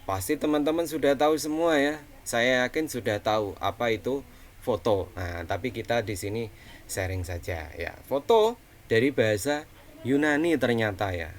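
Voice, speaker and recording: A man with a Javanese accent gives an online class lecture at a middle pitch, easygoing and chatty, often posing a question and then answering it himself. A faint hiss of background noise sits under his voice. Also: animated, moderate pace. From Central Java, Indonesia. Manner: conversational, frequent tag questions and fillers; asks rhetorical questions then answers them.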